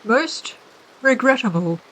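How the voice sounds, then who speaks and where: A woman's voice: on the high side, animated, slow, a bit bright, voice pushed up, exaggerated voice, low-quality recording. A woman voicing a respectable male paper creature come to life, speaking with a nasal, stuffed-up sound, odd R's and formal politeness. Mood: polite. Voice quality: nasal, as if from a heavy cold.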